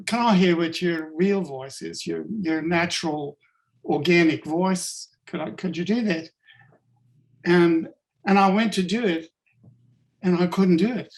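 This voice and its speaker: Higher-voiced man with a South African accent, workshop leader, halting and hesitant delivery. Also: darkish tone. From Canada. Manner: halting, hesitant, many fillers and restarts. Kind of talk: personal account in group discussion.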